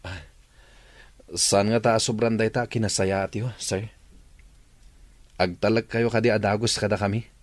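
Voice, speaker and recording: A man's voice: fairly low pitch, steady tone, moderate pace, long pauses, no room sound, lightly acted. A man playing a humble, respectful working son and brother in an Ilocano drama. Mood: deferential. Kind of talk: Ilocano audio drama acting.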